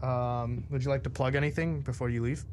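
A man's voice: medium pitch, fairly even intonation, fairly quick, darkish tone. Young man co-hosting, faint background noise. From New Jersey, US. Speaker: co-host. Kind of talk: casual joking chat.

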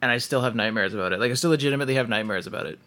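A man's voice: mid-range voice, very fast, bright, forceful tone. A man hosting a casual podcast, talking loosely with lots of fillers and teasing jokes. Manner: chatty, many likes and fillers, teasing. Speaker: podcast host.

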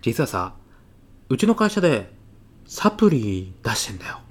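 Man acting a lively, sly, mild-mannered classmate. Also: mid-range voice, moderate pace. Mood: sly sales pitch. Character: mild, self-effacing, slyly pitching male classmate, thirties. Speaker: actor in an audio comedy sketch. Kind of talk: short comedic radio drama dialogue.

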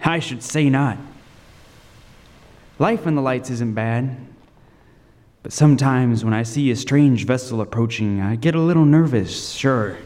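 A man playing a haunted lighthouse keeper, telling his ordeal as radio drama narration with grim, suspenseful, deliberate delivery. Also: medium pitch, a little mellow, lightly acted. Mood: uneasy. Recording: echoing hall reverb. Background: music.

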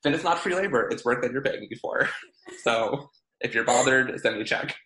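A man's voice: medium pitch, brisk, sharp tone. A man in his twenties co-hosting a podcast, talking casually with a stutter, repeating sounds and getting stuck on blocks. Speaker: podcast co-host. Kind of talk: casual chat. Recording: roomy echo.

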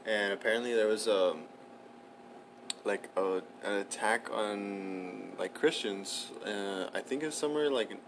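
A man's voice: fairly low pitch, unhurried, a little hiss or hum. A young man co-hosting a podcast, talking casually and rambling, filling sentences with "like" and the odd swear. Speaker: podcast co-host. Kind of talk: casual chat.

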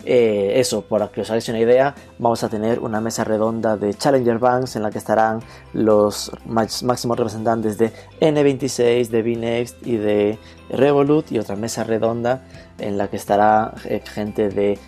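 A man hosting a podcast interview, talking briskly with playful asides. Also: on the low side, fairly even intonation, dark tone, soft background music. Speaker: podcast host.

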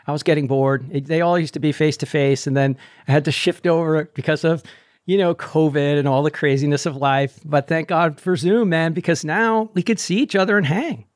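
A man's voice: fairly high pitch, fairly even intonation, brisk, dry recording, clean studio sound. A man in his sixties hosting a running podcast over a video call, chatty and upbeat. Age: 60s. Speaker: podcast host. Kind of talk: casual interview chat.

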